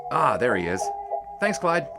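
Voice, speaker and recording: An actor playing a wry young 1920s sportswriter who narrates in the first person. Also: male voice, mid-range voice, very animated, measured pace, gravelly, loud music behind the voice. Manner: wry and breezy.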